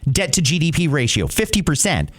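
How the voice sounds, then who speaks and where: A man's voice: a little high, huge pitch swings, brisk, fairly bright tone. A man hosting a podcast, giving opinionated political commentary in a conversational, emphatic way. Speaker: podcast host. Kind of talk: political commentary, answering listener messages.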